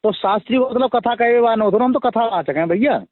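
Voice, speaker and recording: A man's voice: very high-pitched, brisk, no room sound. A man with a western Uttar Pradesh accent in a phone argument, defending himself assertively and repeating his points. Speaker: religious storyteller. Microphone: phone line. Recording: tinny phone call recording.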